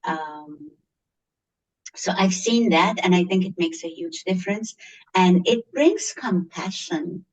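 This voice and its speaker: A woman's voice: fairly low pitch, expressive, takes it slowly, distant mic, slight background noise, poor audio. A woman from Egypt with an Egyptian accent, a teacher answering interview questions earnestly and repeating phrases for emphasis. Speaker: teacher, guest.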